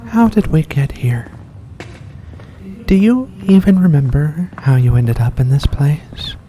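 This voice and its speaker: A performer voicing a mysterious, seductive vampire in a slow, deliberate ASMR roleplay, courteous and teasing. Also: mid-range voice, dark tone, close to an everyday voice. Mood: eerie, hypnotic. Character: seductive mysterious vampire host luring in a guest. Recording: faint background noise.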